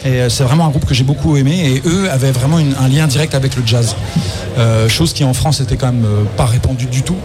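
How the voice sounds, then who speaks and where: A man's voice: mid-range voice, fairly quick, a crowd in the background. A man in his forties, a musician guest in a live radio interview, talking hesitantly with many fillers and restarts. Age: 40s. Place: festival radio stand.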